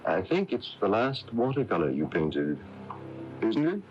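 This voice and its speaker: An actor playing a firm, knowing male confidant in a radio mystery drama, questioning deliberately and pressing for answers. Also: fairly low pitch, huge pitch swings. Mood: firm, guiding. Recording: muffled 1980s AM radio tape, faint music.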